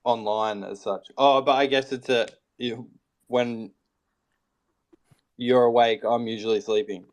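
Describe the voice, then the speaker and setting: A man's voice: mid-range voice, fairly even intonation, a little slow, pauses often. Man, laid-back, laughs often, casual chat, video call. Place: at home computer, early morning.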